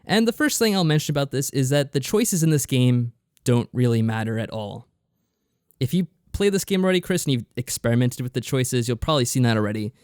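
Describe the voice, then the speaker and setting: A man's voice: mid-range voice, very animated, brisk, darkish tone, clean tone, dry recording, clean studio sound. A man co-hosting a podcast, explaining things in a casual, matter-of-fact review style. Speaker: podcast co-host reviewing visual novels.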